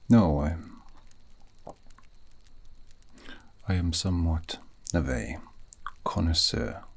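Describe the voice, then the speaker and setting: A man playing a gentle, courteous elven mage in a whispered ASMR fantasy roleplay, with breathy, husky delivery and formal, archaic, soothing phrasing. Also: extremely low pitch, heavily acted. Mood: gentle, wry.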